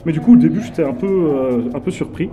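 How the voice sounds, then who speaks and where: A man's voice: a little high, quick pace. A man from Belgium, giving a blunt, slangy film review as a guest at a festival. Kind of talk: casual film review chat.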